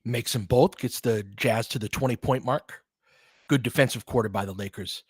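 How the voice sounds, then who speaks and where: A man calling basketball play-by-play with quick, excitable calls and chatty asides, on a close mic at home. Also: mid-range voice, husky, no room sound. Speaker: play-by-play commentator. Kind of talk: fan-made basketball play-by-play commentary.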